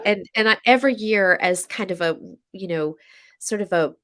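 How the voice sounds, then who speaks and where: An American woman with a stuffy nose from a cold, chatting as an interview guest and telling a long story full of fillers and restarts. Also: medium pitch. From USA. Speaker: podcast guest, coach.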